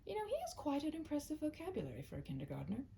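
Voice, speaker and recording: A polite storybook schoolteacher, put on by a woman reader, wryly amused and courteous. Her delivery rises and dips a great deal, with a creaky edge. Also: high-pitched, wildly swooping pitch, very fast, mellow, slight background noise, voice pushed up, full character voice.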